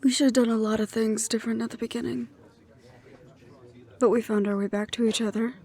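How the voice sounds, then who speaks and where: A woman playing a wry, affectionate ex-hunter in an intimate audio roleplay, speaking softly and teasingly, with breathy asides. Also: on the high side, fairly even intonation, a little fast, close to an everyday voice. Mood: tender, reassuring. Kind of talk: intimate one-sided audio roleplay acting.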